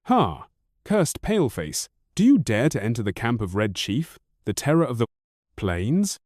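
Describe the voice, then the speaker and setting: A man voicing a wild, boastful ten-year-old boy who chatters on in rapid-fire questions. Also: mid-range voice, sing-song, darkish tone, dry recording, clean studio sound. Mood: defiant.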